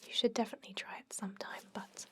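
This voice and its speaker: A woman playing a chatty but gently stern vampire dentist in a whispered ASMR roleplay, rambling in a confiding way with a breathy voice. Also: fast, natural voice. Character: chatty long-lived female vampire dentist, garlic-obsessed, gently stern. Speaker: ASMR performer.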